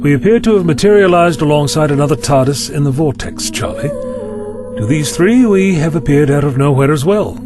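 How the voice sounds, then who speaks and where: An actor playing a polite, inquisitive time-travelling doctor hero in a sci-fi audio drama. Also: male voice, fairly high pitch, huge pitch swings, moderate pace, music in the background. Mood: puzzled, alert.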